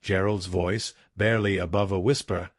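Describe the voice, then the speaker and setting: A man's voice: low voice, steady tone, normal speed, no room sound, clean studio sound. A man narrating a scripted true-crime documentary, telling it dramatically in short, punchy phrases.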